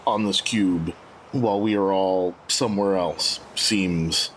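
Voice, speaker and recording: A man's voice: low-pitched, slow pace, fairly bright tone, a little hiss or hum. A man discussing plans casually as a player in a tabletop roleplaying game.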